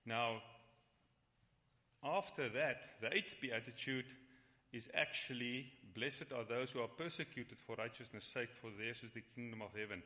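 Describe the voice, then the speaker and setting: A man whose first language is Afrikaans leads a church Bible study and prayer, speaking haltingly with frequent restarts. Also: medium pitch, fairly even intonation, bright, forceful tone. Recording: long hall reverb.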